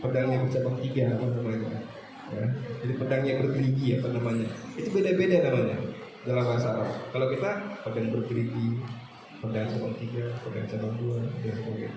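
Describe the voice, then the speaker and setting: A man's voice: mid-range voice, fairly even intonation, quick pace. A man with an Indonesian accent giving an Islamic lecture to students, didactic, repeating phrases and posing questions to his listeners. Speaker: religious teacher lecturing students. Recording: very echoey room with clear background noise.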